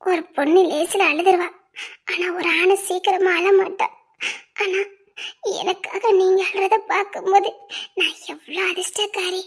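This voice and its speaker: Very high, girlish sweetheart played by an actress. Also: steady tone, measured pace. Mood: tender, consoling. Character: devoted, tender young village woman in love. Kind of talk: romantic drama acting.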